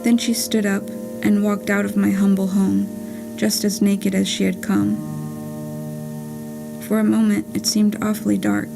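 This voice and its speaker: A woman's voice: medium pitch, flat delivery, measured pace, gravelly, poor audio. A woman reading a scripted, letter-like monologue for a puppet play in a wry, plainspoken storytelling way. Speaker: reader of own written play. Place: live theatre performance with music. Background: music.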